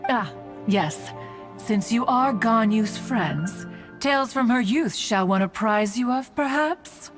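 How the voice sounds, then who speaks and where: A lofty ancient spirit. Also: female voice, on the high side, animated, takes it slowly, music in the background. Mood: stately, teasing. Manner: formal archaic speech, calls herself "one". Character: ancient crane spirit, aloof, archaic and teasing. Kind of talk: game dialogue.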